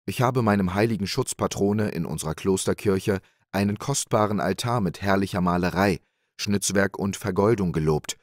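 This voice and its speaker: A man voicing a pious, calm monk in a storybook tale, speaking in a gentle, measured way. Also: deep voice, no echo, studio quality, natural voice. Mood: earnest. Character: pious calm male monk, older brother figure.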